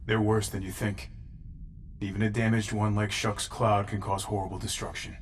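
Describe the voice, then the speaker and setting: An actor playing a big, stoic, world-weary fighter in a video game cutscene, dry and terse. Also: male voice, lowish voice, level tone, moderate pace, a little hiss or hum, natural voice. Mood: weary, grave.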